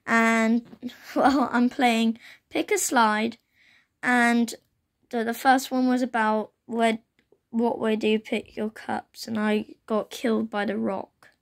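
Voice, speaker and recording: A young woman with a croaky voice chatting casually as she plays a computer game, recorded on a phone she holds up to her laptop screen at home. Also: a little high. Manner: chatty, exclaims often. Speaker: gaming channel host. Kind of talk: casual gameplay commentary. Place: indoors at home, rain outside. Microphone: phone held while filming a laptop screen.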